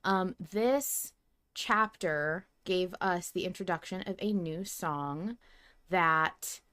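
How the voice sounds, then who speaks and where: A woman's voice: lowish voice, slow pace, sharp tone. A woman hosting a casual fan podcast, effusive and informal in the way she talks. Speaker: podcast host.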